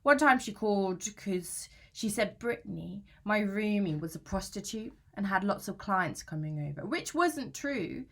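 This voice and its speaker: Young British female student, played by an actress: anxious, aggrieved, self-justifying, mid-pitched, natural conversational storytelling for a dramatic monologue. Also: animated, a little slow, vocal fry, few pauses. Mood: scornful, gossipy. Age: young adult. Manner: chatty, slangy, self-justifying.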